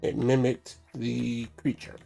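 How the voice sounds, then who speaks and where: A man's voice: mid-range voice, completely flat delivery, takes it slowly, vocal fry, dark tone, soft background music. A man streaming a game with casual commentary, stuffy from a cold, apologetic and thinking aloud as he plays.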